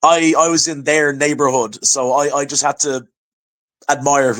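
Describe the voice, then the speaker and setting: A man with an Irish accent, talking as a podcast guest. His voice sits a little high, and he tells his stories with lively energy. He often repeats his opening words before getting going. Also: measured pace. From Ireland. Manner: chatty, animated storytelling with repeated restarts. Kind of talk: casual chat.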